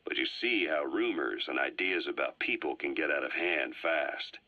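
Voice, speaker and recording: A dull, echoing cassette tape carries a composed Soviet spy from a video game. His husky voice recounts history slyly and deliberately, pausing for drama. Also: extremely low pitch. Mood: wry, composed. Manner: measured, deliberate, with dramatic pauses. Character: sly, composed Soviet spy gunslinger, a measured storyteller. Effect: cassette tape filter.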